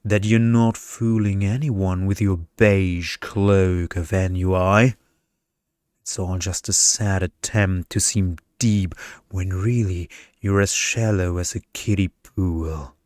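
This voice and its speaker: Male narrator as defiant, witty young woman in romantic tale; smooth, unhurried, mocking delivery. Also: low voice, steady tone, a little mellow, no room sound, studio quality. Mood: steady, mocking. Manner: mocking, confident.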